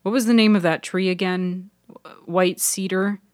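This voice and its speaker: A woman's voice: medium pitch, steady tone, moderate pace, darkish tone, no echo, studio quality, natural voice. A woman voicing an earnest, cautious and slightly awkward young man in a fiction podcast. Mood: intent. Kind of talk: audio fiction character dialogue.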